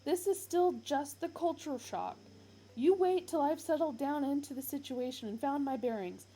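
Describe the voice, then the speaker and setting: A woman reading a story aloud and voicing an indignant, panicky man who sputters in exasperation, pitching her voice well above her own. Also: normal speed, warm tone, rough recording. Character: panicky indignant Englishman, sarcastic.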